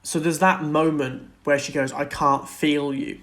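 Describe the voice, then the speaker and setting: Higher-voiced man, earnest podcast co-host. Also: level tone, unhurried, a bit bright. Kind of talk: casual film and TV discussion.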